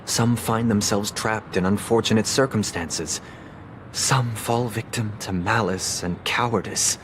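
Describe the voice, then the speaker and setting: An actor playing a solemn, eloquent young man with bleak convictions in a game, speaking in a measured, formal, philosophical manner. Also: on the low side, steady tone, noisy background, lightly acted. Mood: grave, persuasive. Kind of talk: scripted game dialogue.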